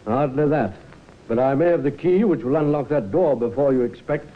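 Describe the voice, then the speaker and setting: An actor playing a confident police inspector, polite but pressing, in an old time radio drama. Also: male voice, medium pitch, normal speed, natural voice. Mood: coaxing, pressing. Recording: thin phone-like old radio recording.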